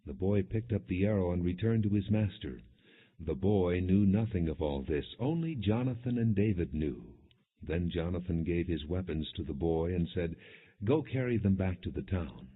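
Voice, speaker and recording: A low-pitched, somewhat husky male narrator reads scripture as a scripted story. His delivery is measured, with expression given to quoted dialogue. The audio is narrow and phone-like. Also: expressive.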